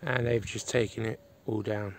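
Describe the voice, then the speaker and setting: Gravelly man giving a walking tour. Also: lowish voice, steady tone, moderate pace, noisy background. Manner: chatty, pointing things out as he walks. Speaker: vlogger giving a property tour. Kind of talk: casual walkthrough narration. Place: outdoors on rural land, then inside a farmhouse. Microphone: phone camera held at arm's length.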